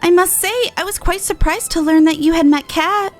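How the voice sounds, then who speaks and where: Woman voicing a very high-pitched caring big sister. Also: soft background music. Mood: caring, composed. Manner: composed, formal, measured phrasing. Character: caring, composed big sister, a fantasy adventurer. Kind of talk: reading game dialogue aloud in character.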